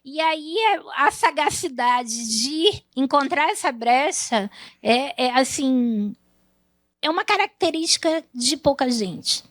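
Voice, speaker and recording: A woman's voice: sits high, expressive, slow, fairly bright tone, no echo. A woman with an Amazonas accent hosting an interview, playful and teasing, cutting in often. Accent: Amazonas Brazilian Portuguese. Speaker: talk show host. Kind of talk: interview chat.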